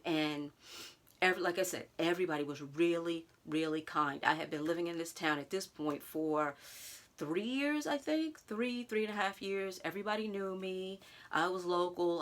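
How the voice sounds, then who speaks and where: A woman vlogger telling a personal story straight to her viewers in a casual, animated way. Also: sits low. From United States. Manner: animated, talks straight to viewers with "y'all", acts out others' lines.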